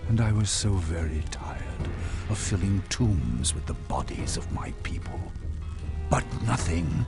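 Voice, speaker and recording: An actor playing a very old, weary dwarf king in a video game, speaking gravely and with measured, regretful weight. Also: on the low side, full character voice. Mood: weary, sorrowful. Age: older. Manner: grave, measured, brooding, dramatic pauses. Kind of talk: dramatic video game dialogue. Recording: music under the voice.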